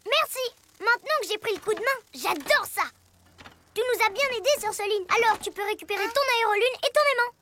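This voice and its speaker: An actor playing an excitable six-year-old boy superhero, self-doubting but brave, in a French children's cartoon, with music behind. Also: extremely high pitch, a little fast, full character voice. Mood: friendly. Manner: excitable, exclamatory. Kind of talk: French animated children's cartoon dub acting.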